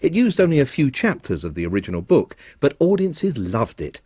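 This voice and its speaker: Guy narrating an arts doc on radio, lively pitch, kinda husky. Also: a little fast, dry recording, clean studio sound. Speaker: documentary presenter.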